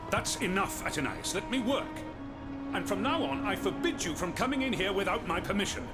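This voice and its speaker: Game role: stern, commanding inventor father, hugely expressive intonation, raised voice register. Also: sits high, fairly quick. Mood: exasperated, stern. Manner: scolding, commanding. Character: stern, exasperated inventor father serving the king. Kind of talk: dramatic video game acting. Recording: music behind the voice.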